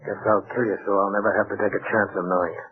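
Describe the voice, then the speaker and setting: A man's voice: fairly low pitch, flat delivery, quick pace, close to an everyday voice. An actor playing a menacing, dim-witted hired gunman in a 1940s radio crime drama, curt and threatening. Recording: tinny phone-like old radio, faint music.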